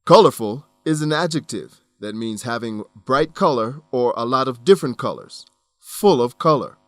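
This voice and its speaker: A man reading scripted educational narration for an English lesson, enunciating clearly in an instructional manner. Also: medium pitch, animated, moderate pace, fairly bright tone, no room sound. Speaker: narrator of an English-teaching radio program.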